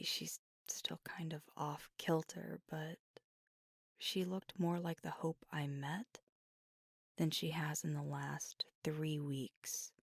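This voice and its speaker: Woman as weary proud werewolf girlfriend, ASMR roleplay, hushed and breathy, unhurried halting delivery, very dry close sound. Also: low voice, dry recording, exaggerated voice. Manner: hushed, halting, sighing, often slipping into whisper. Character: fiery, proud, weary young werewolf girlfriend confiding at night. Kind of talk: intimate ASMR roleplay audio drama.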